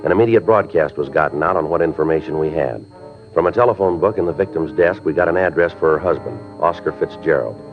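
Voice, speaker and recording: Terse police detective, extremely low voice, brisk delivery, deadpan, courteous, vintage radio drama. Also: male voice, soft background music. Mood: matter-of-fact. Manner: deadpan, clipped, matter-of-fact. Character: terse, polite, businesslike police detective narrating his case. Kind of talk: old-time radio drama acting and narration. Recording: muffled vintage radio recording.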